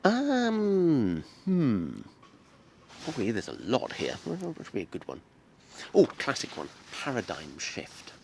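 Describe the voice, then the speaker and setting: Man with a lively, swinging voice. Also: mid-range voice, slow, a little hiss or hum. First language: English. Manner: friendly, explains with everyday examples, laughs often. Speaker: English teacher and host. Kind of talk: casual teaching interview.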